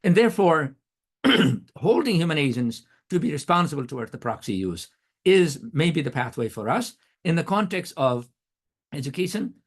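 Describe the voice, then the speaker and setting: Male professor, middle-aged, native Nepali speaker, earnest and questioning delivery, expressive rise and fall, slightly irritated throat. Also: fairly high pitch, normal speed, husky. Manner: earnest, questioning, frequent restarts. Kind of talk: academic talk.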